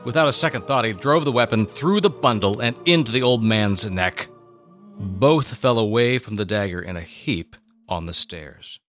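A man's voice: fairly low pitch, normal speed, a bit bright, faint music behind. A man giving a solemn, theatrical scripted reading of a Bible story as narrator. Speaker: narrator and co-host.

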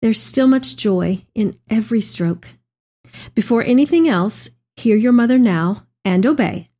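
A woman's voice: fairly high pitch, animated, measured pace, mellow. A woman playing an elderly, tender mother reading her letter aloud in a reflective dramatic reading. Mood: tender, fond.

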